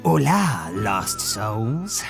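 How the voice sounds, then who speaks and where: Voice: medium pitch, very animated, extremely slow, vocal fry, over music, full character voice. A performer, heard as a woman, voicing a feared cartoon death spirit in a theatrical, coaxing, sinister manner. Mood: sinister, grand. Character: feared ghostly death spirit, smooth, luring, grandly sinister. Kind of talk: animated cartoon voice acting.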